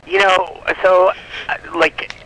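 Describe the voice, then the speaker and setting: A man's voice: slow, pushed and bright. A man being interviewed over the telephone, talking informally and rambling with frequent asides. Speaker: interview guest, comic book publisher. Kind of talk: casual phone interview. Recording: telephone call.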